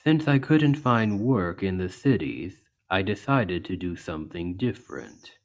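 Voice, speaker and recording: A man voicing a large, gentle orc healer in an ASMR roleplay, speaking in an earnest, measured and reassuring way. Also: low-pitched, animated. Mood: kindly, calm. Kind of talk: ASMR roleplay, soft storytelling to an injured listener.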